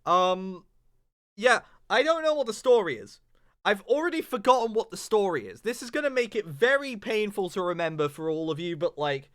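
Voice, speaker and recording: A man's voice: sits high, expressive, normal speed, a bit bright, no room sound, studio quality. A young man streaming a game, chatting casually in a rambling way with lots of fillers and restarts. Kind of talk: casual livestream chat while gaming. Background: game music.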